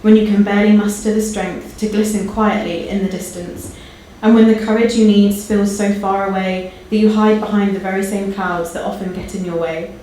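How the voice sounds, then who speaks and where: A woman's voice: mid-range voice, fairly even intonation, normal speed, dark tone. Female guest reader, mid-range voice, personal tribute and verse, strongly reverberant room. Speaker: guest poetry reader and friend. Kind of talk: personal tribute and poetry reading. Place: indoor live launch event. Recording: very echoey hall, faint background noise.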